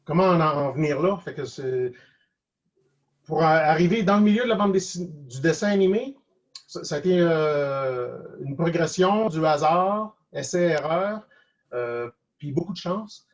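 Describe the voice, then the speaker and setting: Casting: male interview guest, Quebec French accent, slightly high voice, hesitant delivery, dull lo-fi call audio. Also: expressive. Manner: hesitant, halting, repeats words. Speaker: guest cartoonist and animator. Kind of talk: casual online interview, reflective advice. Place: video call.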